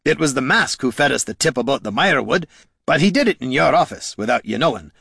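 A man voicing a tough, shrewd male dwarf in an audiobook, folksy and rough-spoken. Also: medium pitch, lively intonation, brisk, a bit bright, no echo, studio quality, voice pushed up, heavily acted. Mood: suspicious. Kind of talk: audiobook character dialogue.

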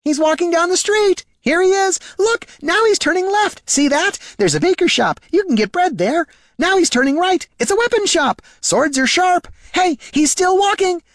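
A tiny magical sprite from a fantasy audiobook, extremely high and shrill. It is excitable and chirpy, swooping up and down as it announces the obvious in a dry, close recording. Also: male voice, moderate pace, dry recording. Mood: excited, shrill. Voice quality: shrill, squeaky.

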